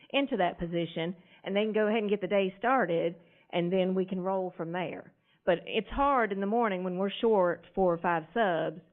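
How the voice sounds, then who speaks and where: A school principal, a woman, speaking loudly and frankly to a school board. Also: mid-range voice. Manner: loud, lively and frank. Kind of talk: speaking before a board meeting. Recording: tinny lo-fi meeting audio.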